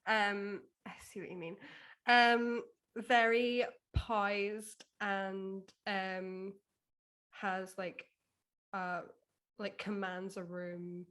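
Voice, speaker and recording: Unhurried woman thinking aloud. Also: medium pitch, little pitch movement, a bit bright. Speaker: guest author being coached. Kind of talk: casual chat, thinking aloud.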